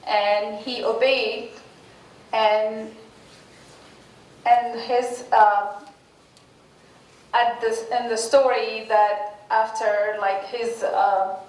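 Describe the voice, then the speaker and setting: A woman whose first languages are Arabic and French, talking slowly and haltingly to a class, full of pauses, restarts and fillers. Her voice sits a little high and comes from across an echoey room, with a faint hiss under it. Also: level tone, recorded from a distance. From Tunisia. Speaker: guest speaker. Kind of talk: informal class presentation.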